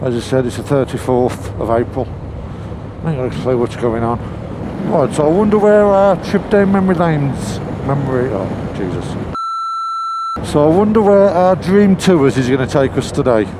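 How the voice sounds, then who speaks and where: A British man talks casually into a helmet mic while he rides a motorcycle. His voice sits in a middle pitch, and he rambles and pokes fun at himself. Steady wind and road noise run behind everything he says. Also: moderate pace. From Britain. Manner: rambling, self-mocking, scatterbrained. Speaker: motorcycle vlogger. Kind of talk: casual helmet-cam riding commentary. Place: on a moving motorcycle outdoors. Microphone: helmet-mounted mic.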